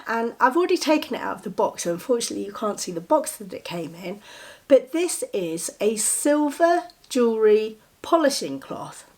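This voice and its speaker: A woman's voice: a little high, big pitch swings, normal speed. Chatty woman with a cold. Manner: chatty, enthusiastic, with soft giggles. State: a little bunged up with a cold. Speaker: vlogger showing gifts. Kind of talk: casual show-and-tell to camera.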